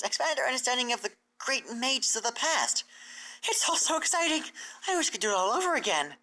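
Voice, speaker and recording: Woman voicing an eager, high-pitched bookish pony scholar. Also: bright, forceful tone, poor audio. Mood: excited. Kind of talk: fan fiction character reading.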